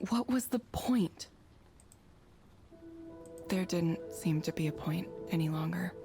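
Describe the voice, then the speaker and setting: Low, creaky, subdued young woman. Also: animated, takes it slowly, music in the background. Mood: hollow, grieving. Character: disillusioned, grieving young woman, quietly reflective former engineer. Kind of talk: visual novel voice acting.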